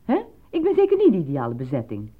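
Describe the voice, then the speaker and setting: An actress playing a sceptical, sharp-tongued wife who questions and needles in a radio drama style. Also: female voice, deep voice, wildly swooping pitch, fast, exaggerated voice. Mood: jealous, sardonic. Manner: sardonic, questioning. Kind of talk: Dutch radio drama acting. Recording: tinny, narrow-band old radio play.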